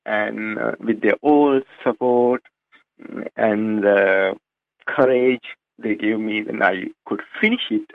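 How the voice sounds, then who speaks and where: A man's voice: lowish voice, steady tone, takes it slowly, gravelly, no echo. A man in his thirties from Sri Lanka with a Sri Lankan accent, answering interview questions hesitantly with many fillers and restarts. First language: Sinhala. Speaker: radio show host, guest. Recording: dry tinny phone-like line.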